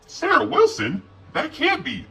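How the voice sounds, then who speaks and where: A man's voice: very high voice, sing-song, unhurried, gravelly, sharp tone, recorded from a distance, soft background music, pitched above the performer's own voice, exaggerated voice. A man voicing an uneasy, easily startled female police officer in a spoken horror story.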